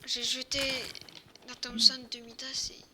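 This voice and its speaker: An amateur performer playing an excitable, bewildered apocalypse survivor in an improvised game roleplay, rambling and repeating themself. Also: medium pitch, measured pace, noisy background, natural voice. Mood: bewildered, remembering. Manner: rambling, repetitive storytelling. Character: survivor waking from twenty years in capsule, excitable, bewildered.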